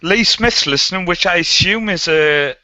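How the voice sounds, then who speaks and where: A man hosting a casual podcast chat, jokey and chatty and quick to laugh, heard over a dull lo-fi online call. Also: high-pitched, pushed and bright, dry recording. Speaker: podcast host.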